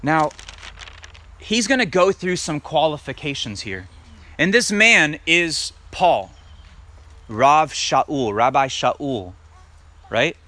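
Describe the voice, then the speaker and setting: A man preaching a sermon and reading scripture aloud. He talks emphatically, puts direct questions to his listeners, and builds to loud peaks. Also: a little high, very animated, slow pace, pauses often. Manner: asks the congregation direct questions. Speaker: messianic congregation teacher.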